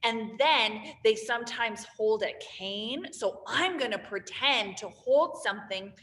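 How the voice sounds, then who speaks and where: A woman teaching a drama lesson to young students, explaining step by step and showing each idea as she goes. Her voice sits fairly high, with a long reverberant tail around it. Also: unhurried, fairly bright tone. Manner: clear, demonstrative explaining with acted gestures. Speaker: theater teacher.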